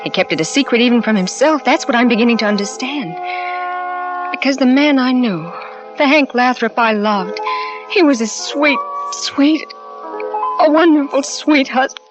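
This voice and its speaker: Actress doing young troubled wife, halting confiding voice, music under, dull old radio. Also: female voice, sits high, lightly acted. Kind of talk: 1940s radio drama acting.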